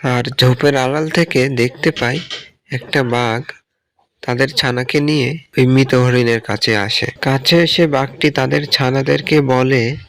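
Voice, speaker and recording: A man serves as narrator of a children's cartoon fable. His voice has a middling pitch, and the delivery is scripted storytelling. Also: steady tone, a little slow, a bit bright.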